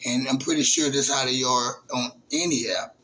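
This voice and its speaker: A man, hosting his own channel in a casual, halting monologue full of fillers and restarts. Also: mid-range voice, steady tone, sharp tone, slight background noise, rough recording. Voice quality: raspy voice. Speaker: YouTube channel host.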